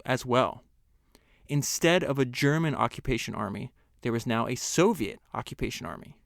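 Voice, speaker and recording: Podcast guy reading from a book, smooth clear voice, steady and earnest, dry sound. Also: mid-range voice, no echo. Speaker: podcast host. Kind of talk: reading a book excerpt aloud and commenting on it.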